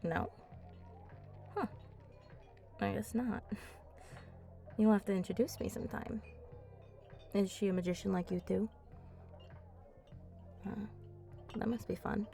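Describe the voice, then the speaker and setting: Flirty vampire woman for ASMR roleplay, female performer: mellow, breathy and unhurried, coaxing and seductive, music behind. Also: mid-range voice, sing-song. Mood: casual curiosity. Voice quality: breathy, often dropping to a whisper. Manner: slow, teasing, coaxing.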